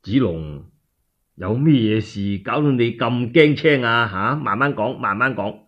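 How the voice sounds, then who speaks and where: Sorrowful middle-aged warlord acted by a man, radio drama, sighing, plaintive, drawn-out pace, rough-edged voice. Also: medium pitch, animated, dull audio, voice pushed down. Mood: calm. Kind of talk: one-man Cantonese radio storytelling drama.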